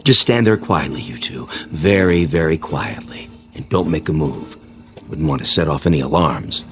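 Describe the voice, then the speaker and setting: Deep-voiced, coolly menacing armed agent. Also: male voice, music in the background. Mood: smug menace. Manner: measured, mock-courteous. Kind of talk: radio drama acting.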